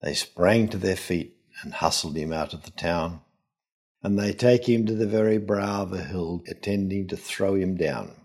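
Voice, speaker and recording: An older man, a priest and scholar, giving a scripted gospel reflection in a measured, solemn, emphatic way. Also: deep voice, darkish tone, husky.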